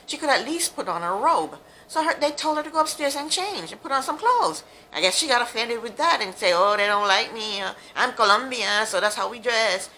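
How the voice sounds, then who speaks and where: Lively, bright-voiced woman chatting frankly. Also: fairly high pitch, brisk, few pauses, slight background noise, low-quality recording. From Jamaica. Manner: chatty, frank, lots of ums and asides. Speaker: commentator recapping a reality show. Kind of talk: casual monologue, opinionated recap.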